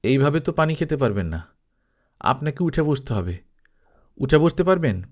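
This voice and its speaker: A man voicing a hard, bitter young stage actress in a story reading, with a sharp, insistent, colloquial manner. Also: mid-range voice, sing-song, moderate pace. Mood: firm, questioning.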